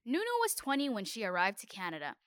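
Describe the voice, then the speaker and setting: A woman correspondent narrating an interview in a clear, measured, scripted way. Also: medium pitch, wildly swooping pitch, a bit bright, no room sound, clean studio sound.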